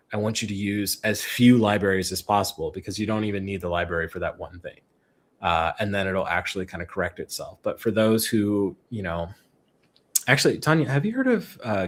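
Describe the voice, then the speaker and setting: Laid-back man explaining in a lowish voice. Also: normal speed. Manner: relaxed, filler-heavy explaining with acted-out quotes. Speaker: guest expert. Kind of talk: casual explanatory chat.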